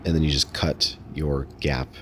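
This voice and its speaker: Very deep-voiced man narrating a DIY install. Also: steady tone, normal speed, a bit bright, slight background noise. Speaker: host of a DIY install vlog. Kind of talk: casual how-to narration over footage.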